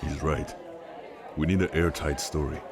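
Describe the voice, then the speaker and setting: A man playing a weary, cautious operative and new father in naturalistic drama, measured and understated. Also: extremely low pitch, level tone. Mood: resolute. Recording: background music and crowd under dialogue.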